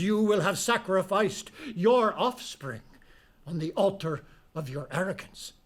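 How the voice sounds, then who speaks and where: Casting: man to play aged male seer in stage tragedy, stern and declamatory, high-pitched voice, very unhurried delivery. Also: a bit bright, heavily acted. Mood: wrathful. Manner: declamatory, slow, emphatic. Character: stern old blind male prophet, grave and wrathful. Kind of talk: dramatic reading of Greek tragedy.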